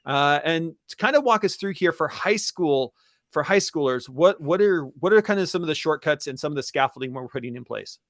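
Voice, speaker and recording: A man's voice: on the high side, expressive, brisk, fairly bright tone, no room sound, clean studio sound. A man co-hosting an educational webinar in a casual presenting style, talking directly to listeners. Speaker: podcast and webinar co-host.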